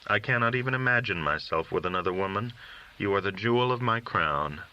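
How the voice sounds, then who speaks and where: A man's voice: lowish voice, animated, brisk, pushed and bright, muffled recording. A man voicing a devout, doting and anxious husband in a folk tale, earnest and plaintive. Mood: tender, insistent. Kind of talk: audiobook character dialogue.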